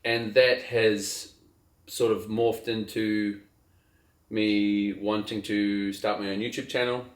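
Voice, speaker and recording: Low-voiced New man, roomy sound. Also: slow. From New Zealand. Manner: relaxed, hesitant, many fillers and restarts. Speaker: guest, sailing captain. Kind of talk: casual interview chat. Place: outdoors on a trip.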